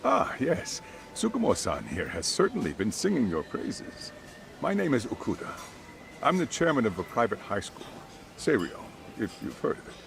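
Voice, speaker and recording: A man's voice: mid-range voice, wildly swooping pitch, moderate pace, gravelly, slight background noise. An actor playing a polite, formal middle-aged private school chairman in a video game cutscene, speaking in careful, wordy sentences. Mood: courteous.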